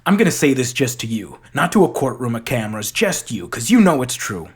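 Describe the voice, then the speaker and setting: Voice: mid-range voice, sing-song, fairly quick, breathy edge. A performer reciting as an arrogant, spiteful rich young man, a cornered villain with a sneering, entitled manner. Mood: seething. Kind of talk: dramatic recitation of a film scene.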